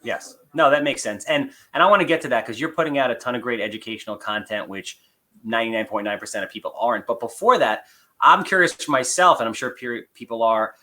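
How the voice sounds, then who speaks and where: Guy hosting a podcast, bright punchy voice, asks encouraging follow-ups. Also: mid-range voice, fast. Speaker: podcast host. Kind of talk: casual interview.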